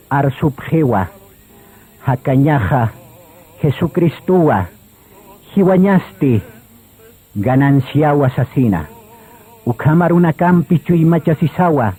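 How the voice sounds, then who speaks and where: A man narrating a scripted religious radio drama in a solemn, deliberate manner. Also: a little high, warm tone, slightly rough, rough recording. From Bolivia.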